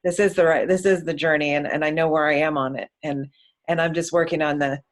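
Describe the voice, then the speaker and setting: An audience member speaking casually, thinking aloud and halting while searching for words. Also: mid-range voice, steady tone. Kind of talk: casual conversation.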